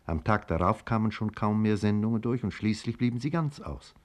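A man with a fairly low voice and mobile intonation played by an actor speaks in a 1950s German radio play. He sounds orderly and reflective, with an inquisitive bent. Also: expressive, a little fast, natural voice. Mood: narrating.

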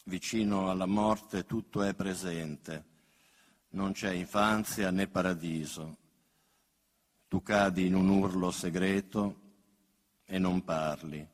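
A man with a deep voice gives a solemn poetry recitation. He speaks very slowly, with grave, measured intimacy, and the sound rings in a long hall reverb. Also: steady tone. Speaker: poet reading own work.

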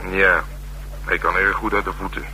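An actor playing a streetwise, sardonic middle-aged police detective in a Dutch radio drama, talking bluntly and colloquially with dry teasing. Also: male voice, low voice, level tone, moderate pace, bright, forceful tone. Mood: matter-of-fact. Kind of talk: naturalistic radio drama dialogue. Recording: humming, noisy old radio broadcast.

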